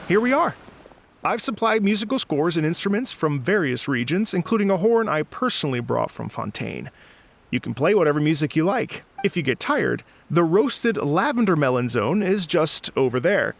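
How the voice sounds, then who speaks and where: Male game musician and organizer: polite, earnest, faintly hesitant, somewhat higher register. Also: gravelly, exaggerated voice. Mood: welcoming. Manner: courteous, slightly hesitant. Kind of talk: video game cutscene acting.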